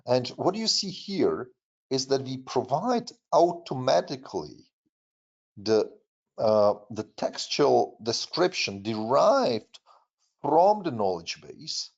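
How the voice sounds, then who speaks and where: Man with a Czech accent demoing software. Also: medium pitch, very animated, vocal fry, lo-fi sound. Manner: halting, many fillers and restarts. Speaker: product presenter. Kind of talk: webinar software demo.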